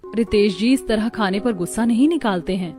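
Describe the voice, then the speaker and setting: A woman playing a devoted, anxious young wife in an animated family story. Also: mid-range voice, brisk, natural voice. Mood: gently consoling.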